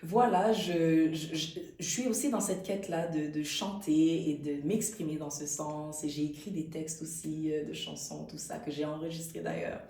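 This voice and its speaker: A woman talks thoughtfully in an interview. Her voice is low and mellow, with frequent hesitations and restarts. The mic sits a little away from her in an echoey room. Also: steady tone, moderate pace, hardly any pauses. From Canada. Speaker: actress and interview guest. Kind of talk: conversational interview. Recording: roomy, distant mic.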